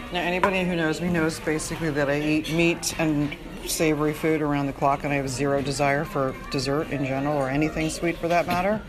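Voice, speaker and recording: A woman vlogging casually to her followers in a candid, opinionated, emphatic way, on a noisy handheld recording. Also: low-pitched. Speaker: vlogger filming social media stories. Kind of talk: casual chatty phone vlog narration. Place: on the go, around a tennis centre.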